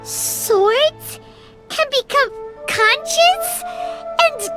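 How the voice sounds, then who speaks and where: A woman voicing a tiny, childlike, outspoken fairy companion in a video game, squeaky and blunt. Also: animated, very slow, over loud music, heavily acted. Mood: puzzled. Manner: blunt, exclamatory.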